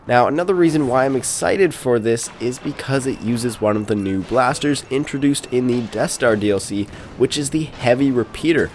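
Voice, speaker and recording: A man's voice: mid-range voice, slight background noise. A man with a stuffed-up, congested voice gives polite, scripted commentary over game footage as a channel host. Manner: polite, formal phrasing.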